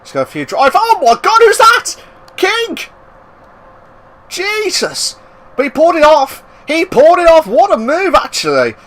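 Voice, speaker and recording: A man giving live racing commentary, excitable and bursting into loud exclamations at the action. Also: extremely high pitch, unhurried. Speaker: race commentator.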